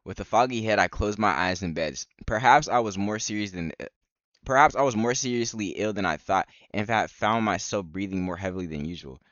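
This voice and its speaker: A man's voice: fairly low pitch, brisk, a bit bright, no echo, lo-fi sound. A young man streaming a game, reading visual novel text aloud with casual reactions.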